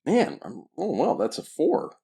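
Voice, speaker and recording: Animated man playing a tabletop game. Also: a little high, a little slow, creaky, background noise. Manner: wry, jokingly defensive. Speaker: player in a role-playing game. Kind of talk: casual tabletop game chat.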